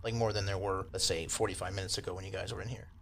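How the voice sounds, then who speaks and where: A man with a low voice acts as game master, talking casually and explaining the scene in an easygoing, accommodating manner. There is clear, steady noise behind him. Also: rapid-fire. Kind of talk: casual tabletop game narration. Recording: constant clear background noise.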